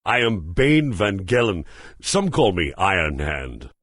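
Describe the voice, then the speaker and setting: An actor playing a proud, hearty axe-wielding mercenary in a fantasy game, gruff and husky, with formal, self-assured speech. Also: male voice, low voice, big pitch swings, a little slow, fairly bright tone, no room sound, clean studio sound, full character voice. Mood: proud, cordial. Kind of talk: fantasy game character acting.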